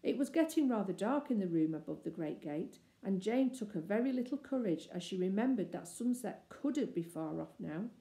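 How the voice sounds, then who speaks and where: A woman reading a children's story aloud as scripted narration, expressive and measured. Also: medium pitch. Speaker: storybook reader. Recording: echoey room.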